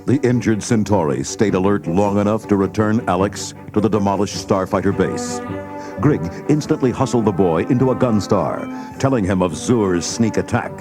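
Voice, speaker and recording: A man's voice: fairly low pitch, normal speed. A man giving scripted, dramatic narration for a storybook read-along. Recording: loud music and effects behind.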